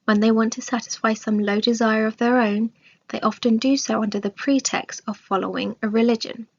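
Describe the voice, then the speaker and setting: A woman reading a scripted religious study aloud in a measured, formal way. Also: fairly high pitch, fairly even intonation. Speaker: reader of religious study text. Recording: dull, lo-fi sound.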